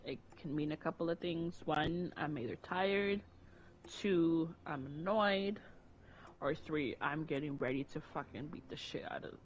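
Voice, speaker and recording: A woman's voice: low voice, a little hiss or hum. A woman co-hosting a casual chat, talking candidly and rambling with many restarts. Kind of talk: casual conversation. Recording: dull lo-fi audio with faint music.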